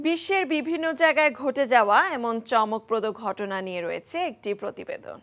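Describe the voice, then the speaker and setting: A woman from Bangladesh introducing a news segment in a lively, announcing way. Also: fairly high pitch, fairly quick, slight background noise. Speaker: program presenter.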